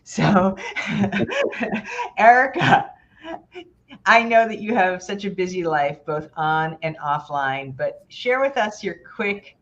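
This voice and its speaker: A woman's voice: medium pitch, very animated, slow, pauses often, dull audio. A woman hosting an online party over a video call, chatty and encouraging. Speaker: host.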